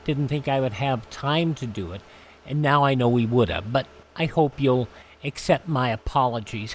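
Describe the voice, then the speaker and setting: A man hosting a radio podcast, giving a casual, unscripted rundown in a halting, self-correcting way. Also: fairly high pitch.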